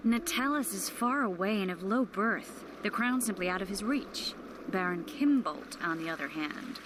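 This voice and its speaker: An actress playing a proud, wounded sorceress and court adviser in a fantasy video game, speaking in a measured, articulate way. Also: female voice, medium pitch, lively intonation, background noise, natural voice. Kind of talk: dramatic game dialogue.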